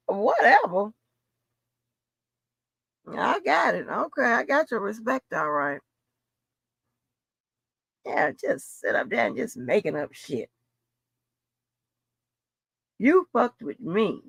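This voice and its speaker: A woman's voice: mid-range voice, creaky, dry recording. A woman in an unscripted livestream rant, blunt, profane and confrontational, repeating phrases for emphasis. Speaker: livestream host.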